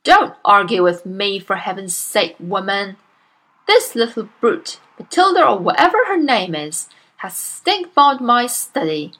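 A woman voicing a huge, bullying female headmistress who barks and shouts with scorn. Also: medium pitch, very animated, measured pace, heavily acted, rough recording. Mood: bellowing scorn.

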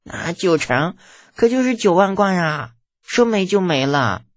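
A woman voicing an excitable, greedy young crown prince in an audiobook, with a dramatic, exclamatory manner. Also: on the low side, normal speed, slightly rough, dry recording, clean studio sound. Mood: dismayed. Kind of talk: audiobook character acting.

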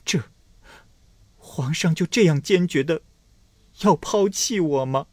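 A man voicing a gentle young consort, plaintive and wry after being cast aside. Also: on the low side, very animated, takes it slowly, husky, no room sound, heavily acted. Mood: bitter. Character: gentle rejected consort, young woman, bitter.

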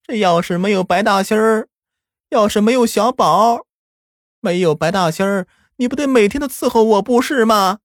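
A man putting on a slow, soft-spoken old granny. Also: on the high side, animated, dry recording, clean studio sound, voice pushed up. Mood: stern. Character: old grandmother, soft and doting.

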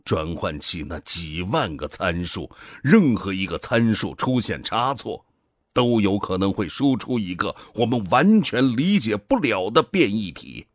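An actor in a science-fiction radio drama playing a cold, ambitious middle-aged commander with a measured, authoritative, persuasive manner. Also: male voice, fairly low pitch, very animated, no room sound, studio quality. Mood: grave, pointed. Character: cold, ambitious male project commander, middle-aged, coaxing and self-justifying.

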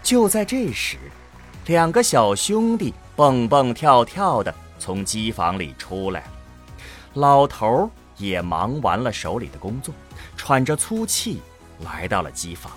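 Animated, unhurried male drama narrator. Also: mid-range voice, faint music behind. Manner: dramatic storytelling. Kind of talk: scripted narration of a science fiction audio drama.

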